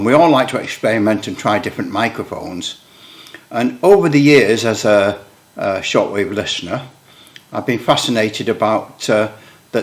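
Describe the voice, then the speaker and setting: British bloke rambling on about his radio gear, wry and self-deprecating, middle-pitched voice. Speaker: radio hobbyist video maker. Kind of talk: casual show-and-tell explanation.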